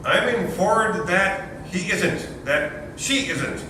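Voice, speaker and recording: A melodramatic, self-pitying young nephew, played by a man, talks theatrically and sarcastically at a very slow pace. He is heard from far off in a very echoey room, in a stage comedy. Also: high voice, animated, sharp tone, slight background noise, heavily acted. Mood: wounded. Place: large room or hall, distant microphone.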